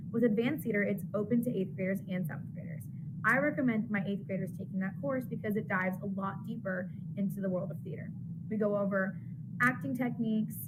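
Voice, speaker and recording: A woman theater teacher sounds bubbly and enthusiastic as she presents her classes. Clear background noise runs behind her voice. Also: medium pitch. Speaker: middle school theater teacher. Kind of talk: upbeat recorded class introduction.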